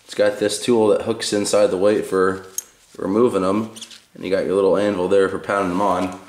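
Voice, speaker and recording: A man's voice: deep voice, little pitch movement, a little fast, darkish tone. A man casually showing how to use a tool in his home garage, talking in a laid-back, rambling way while filming on a handheld camera. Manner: laid-back, rambling, with fillers and self-corrections. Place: home garage with concrete floor. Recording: echoey garage, faint background noise.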